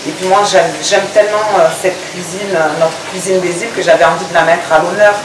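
Low-voiced woman, echoey and noisy recording. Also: steady tone, brisk. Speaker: restaurant owner. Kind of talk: on-camera interview, explaining her project. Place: restaurant terrace, dining room and working kitchen. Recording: distant, echoey, loud background noise.

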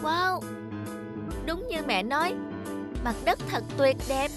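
Woman voicing a high-pitched earnest little raindrop boy. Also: lively intonation, slow pace, music in the background. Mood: delighted. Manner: earnest, exclamatory. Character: small brave young raindrop boy, determined, anxious.

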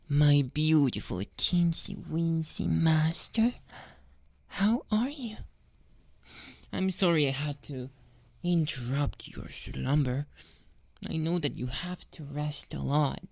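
Slow, whispery neko boy, voiced by a woman. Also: low voice, darkish tone, dry recording, heavily acted. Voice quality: breathy whispering. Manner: slow, lingering, obsessively affectionate. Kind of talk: ASMR roleplay, soft and whispery.

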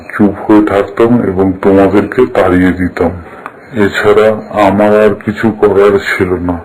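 Man acting a talking tree, low pitch, even delivery, weary, grumpy, sorrowful, cartoon fable. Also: close to an everyday voice, low-quality recording. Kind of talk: scripted cartoon story acting.

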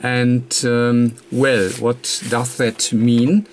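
A man presenting an electronics tutorial, explaining off the cuff in a halting, hesitant way with frequent pauses mid-sentence. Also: mid-range voice, little pitch movement, a little hiss or hum. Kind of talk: unscripted technical explanation. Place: indoor workbench, hands and papers filmed.

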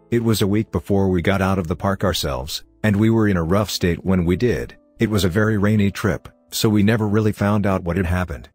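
Deep-voiced man narrating horror stories. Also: a little mellow. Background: faint music.

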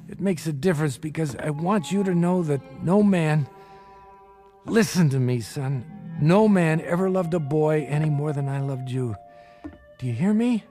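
A man's voice: fairly high pitch, lively intonation, moderate pace, mellow, faint music behind, close to an everyday voice. An American man playing a guilt-ridden, weak small-time con man father in a radio drama, emotional, hesitant and pleading. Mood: remorseful, earnest.

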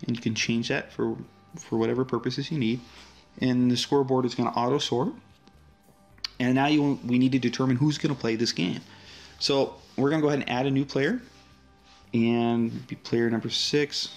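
A man's voice: mid-range voice, normal speed, faint music behind. A man, the app's developer, casually walking through a demo of his app with frequent fillers and restarts. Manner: relaxed, friendly walkthrough with frequent fillers.

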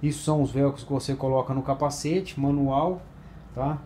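A man's voice: medium pitch, fairly even intonation, moderate pace, warm tone. A man presenting a product casually and informally for a shop, repeating himself for emphasis. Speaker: motorcycle accessory shop presenter. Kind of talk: casual product review to camera. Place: indoors at a table by a large sunny window. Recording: background ambient noise.

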